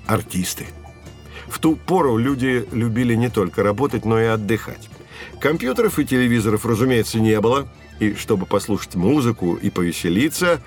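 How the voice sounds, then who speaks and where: A man's voice: medium pitch, natural voice. An actor playing a patient, knowledgeable man teaching children, explaining things like a storyteller in scripted educational narration. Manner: explanatory, storytelling, clearly phrased.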